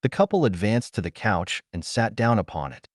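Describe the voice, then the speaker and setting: A man's voice: fairly low pitch, sing-song, moderate pace, dry recording, clean studio sound. A man narrating a story as an audiobook, reading scripted prose dramatically.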